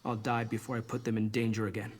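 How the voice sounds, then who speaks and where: A man's voice: lowish voice, flat delivery, fast talker, lightly acted. A man voicing an earnest, wary and protective young mage in a video game, serious and guarded. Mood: wary, determined. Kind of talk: video game character acting.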